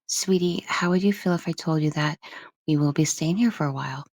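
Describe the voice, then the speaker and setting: A woman voicing a tender, reassuring mother who talks softly and soothingly, as an audiobook character. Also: low voice, fairly quick, dry recording. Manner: soft, soothing. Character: tender, reassuring young mother of a small child.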